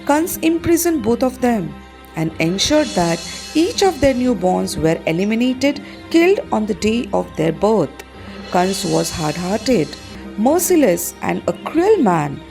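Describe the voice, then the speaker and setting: A woman's voice: mid-range voice, sing-song, a little slow, gravelly, a little mellow. A woman with an Indian accent narrating a school play as dramatic scripted storytelling, over music. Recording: music behind the voice.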